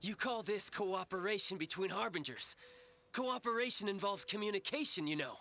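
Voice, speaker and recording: A man's voice: high voice, lively intonation, normal speed, sharp tone, full character voice. An actor playing a breezy, cocky young male schemer in a video game cutscene, teasing and casually theatrical. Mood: irritated.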